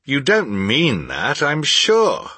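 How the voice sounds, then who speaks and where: Man acting a bright, cheerful young nephew. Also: medium pitch, huge pitch swings, slow, breathy edge, no echo, pitched above the performer's own voice, exaggerated voice. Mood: cheerful, teasing. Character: cheerful, warm-hearted young nephew, earnest and good-natured. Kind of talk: dramatized storybook reading.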